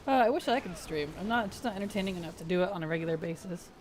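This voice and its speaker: A streamer talking over gameplay at a middling pitch, voice frayed and weak after a sleepless night. Soft-spoken and easygoing, reading chat aloud and muttering to self between lines, with a faint hiss behind. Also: animated, racing, poor audio. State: weak, strained voice. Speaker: game streamer. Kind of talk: casual live-stream chat while playing.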